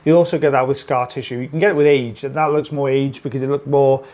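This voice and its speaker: Brief: British male clinician, mid pitch, easygoing explainer, lots of ums and uhs. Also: fast talker. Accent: British English. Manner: relaxed, chatty explaining with fillers. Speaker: ear wax removal clinician. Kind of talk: explaining a procedure on camera.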